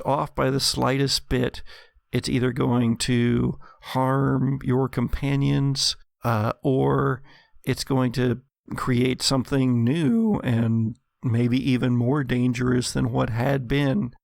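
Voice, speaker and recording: Male game master, measured and dramatic horror narration, gravelly edge, unhurried pace. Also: medium pitch, a little mellow, no echo, studio quality. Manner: dramatic, deliberate storytelling in second person.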